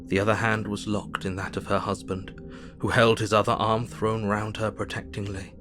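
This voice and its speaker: An actor playing a sombre, observant Victorian diarist, narrating in a measured, grave manner in an audio drama. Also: male voice, lowish voice, level tone, music in the background, natural voice. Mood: compassionate.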